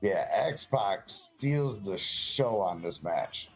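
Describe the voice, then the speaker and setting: A man's voice: lowish voice, lively intonation, very slow, faint music behind, low-quality recording. A man giving casual, slangy and sometimes profane wrestling commentary as a guest co-commentator.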